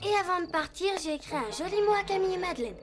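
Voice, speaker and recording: Eager little cartoon girl, naive n childlike, really high voice, music behind her. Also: animated, rapid-fire, far from the mic. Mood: excited, delighted. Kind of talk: French animated series dialogue.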